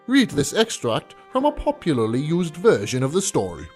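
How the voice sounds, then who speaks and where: A man's voice: on the high side, wildly swooping pitch, moderate pace, darkish tone. A man playing a bookish academic researcher in an audio story, narrating his findings on a legend in formal, scholarly lecture style. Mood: measured, scholarly. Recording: music under the voice.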